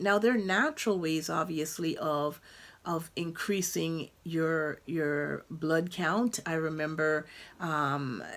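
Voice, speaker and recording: A woman's voice: low-pitched, gravelly. A woman doctor from Guyana, explaining medical treatments informally and thinking aloud with frequent ums and restarts. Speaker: doctor and patient advocate.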